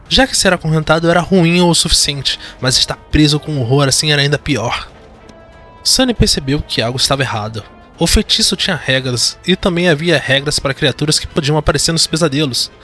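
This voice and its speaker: A man's voice: fairly high pitch, bright, forceful tone. A man with a Brazilian accent giving dramatic scripted narration for a horror fantasy audio drama. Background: music.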